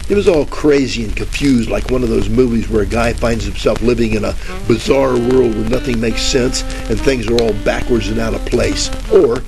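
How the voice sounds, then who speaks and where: Man acting as hard-boiled radio detective, gravelly, deadpan, sarcastic, 1940s parody style, crackly broadcast over music. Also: medium pitch, moderate pace, hardly any pauses, close to an everyday voice. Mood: wry, deadpan. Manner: deadpan, overblown similes. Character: wisecracking hard-boiled male private detective, bumbling and sarcastic. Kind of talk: 1940s radio detective parody, noir first-person narration. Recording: crackly old-time radio with music underneath.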